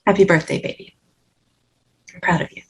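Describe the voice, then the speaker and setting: A woman's voice: normal speed, noisy background, lightly acted. A woman playing a brash, doting, party-loving mother who coaxes her child, in a script table read. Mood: tender, nostalgic.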